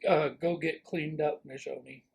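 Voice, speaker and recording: A woman voicing a brisk, stern father in a children's storybook. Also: low-pitched, fairly even intonation, gravelly, darkish tone, pitched below the performer's own voice. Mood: firm.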